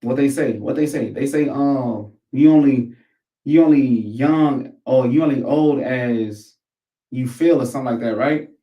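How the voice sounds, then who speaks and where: A man's voice: mid-range voice, a little slow, warm tone. An American man giving casual, rambling commentary in heavy slang, swearing and repeating phrases for emphasis. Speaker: host of a commentary show. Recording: echoey room, distant microphone.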